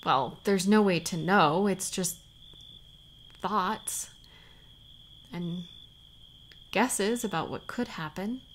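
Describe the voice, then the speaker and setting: Woman acting a worried sweetheart, slow-paced, soft, halting, tender, intimate audio drama, faint crickets behind. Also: lowish voice, slight background noise. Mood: pensive, questioning. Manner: soft, halting, sighing, sometimes near whisper. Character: tender, worried woman parting from her warrior beloved. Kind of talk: intimate scripted audio roleplay.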